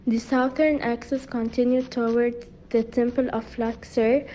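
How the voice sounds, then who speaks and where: A woman's voice: a little high, level tone, unhurried, mellow, a little hiss or hum, rough recording. A woman reading scripted documentary narration, sometimes stumbling and repeating words as she reads.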